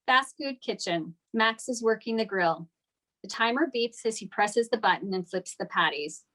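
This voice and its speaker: Woman, average pitch, scripted narration, stage directions, table read. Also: lively intonation, moderate pace, a bit bright.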